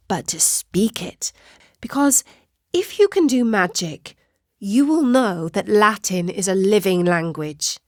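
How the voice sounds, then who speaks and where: A woman reads a children's story with measured, expressive delivery. Her pace is slow and her pitch sits in a middle range. The recording is dry and close. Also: no room sound. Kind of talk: scripted children's story narration.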